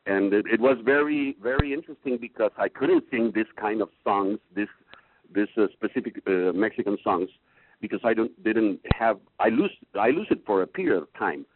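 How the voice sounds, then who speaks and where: A man with a baritone voice, a singing student talking in a halting way that restarts words often. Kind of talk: casual chat in a lesson. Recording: tinny call line, faint hiss.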